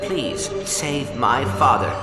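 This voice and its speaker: An actor voicing a small, polite, earnest robot in a video game, with precise, formal diction. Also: male voice, medium pitch, sing-song, slow. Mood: urgent pleading. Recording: reverberant hall, loud music behind.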